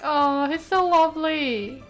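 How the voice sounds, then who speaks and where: Woman, fairly high voice, slow pace, fannish gaming reactions, casual, faint music behind. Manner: gushing, fannish. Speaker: gaming let's-player. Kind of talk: casual reaction commentary over gameplay.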